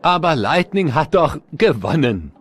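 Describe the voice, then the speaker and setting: A man's voice: fairly high pitch, big pitch swings, a little slow, husky, exaggerated voice. A man voicing a suave, competitive race car in a German-dubbed cartoon, courteous and playfully boastful. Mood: gracious.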